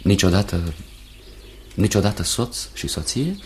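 Radio drama role: emotional young fiancé, kindly and nervous, swooping intonation, hesitant, slightly noisy recording with ambience. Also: male voice, low-pitched, expressive. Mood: earnest. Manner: earnest, hesitant, repeats himself. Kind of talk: Romanian radio theatre acting.

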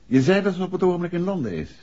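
A man playing a patient, middle-aged gentleman detective who questions in a measured, coaxing way in a 1960s radio play. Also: high voice, sing-song. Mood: patient probing. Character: probing, gentlemanly male detective, patient and suave. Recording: dull 1960s radio drama recording.

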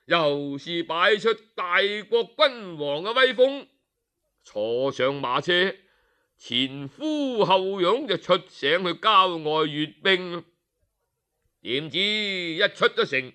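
A man narrating a historical tale in traditional oral storytelling style, with a measured, dramatic delivery. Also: high-pitched, bright, forceful tone, clear, resonant tone.

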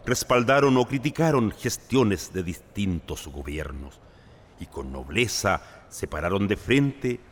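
A man's voice: on the low side, animated, measured pace. A man delivering solemn, scripted narration.

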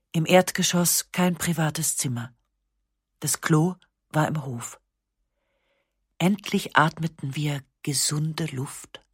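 A woman narrating a literary text for radio in a calm, measured, reflective voice. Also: sits low, slightly rough, dry recording, studio quality. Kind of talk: scripted literary reading for radio. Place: dry studio. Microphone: close studio mic.

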